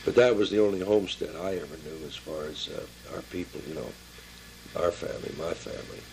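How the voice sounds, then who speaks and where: An elderly American man in his seventies or older reminiscing informally about his life, halting and plain-spoken as he searches his memory. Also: low-pitched, a little mellow, slight background noise, low-quality recording. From Pittsburgh area, Pennsylvania, United States. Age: 70s or older. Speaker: family member recording his life story for a relative.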